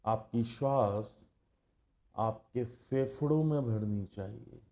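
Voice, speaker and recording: A man with an Indian accent leads a guided meditation as an instructor, speaking in a calm, hushed, measured way with long pauses. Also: mid-range voice.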